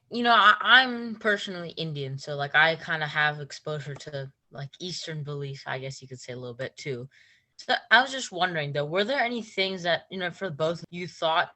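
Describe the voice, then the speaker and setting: A woman's voice: deep voice, moderate pace, pushed and bright. A young woman interviewing parents, asking casual, hesitant questions full of "like". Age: teens. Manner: hesitant, casual, filler-heavy. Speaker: youth interviewer.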